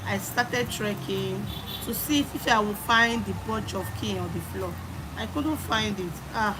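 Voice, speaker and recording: A young adult woman with a Nigerian accent, live streaming and chatting with viewers, greeting them by name and repeating her phrases. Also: a little high. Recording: loud background noise.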